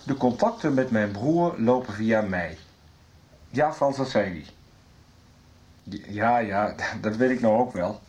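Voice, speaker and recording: A man's voice: fairly low pitch, expressive, slow, long pauses, a little mellow. Blunt, impatient male lover. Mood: irritated, secretive. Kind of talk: Dutch radio soap acting.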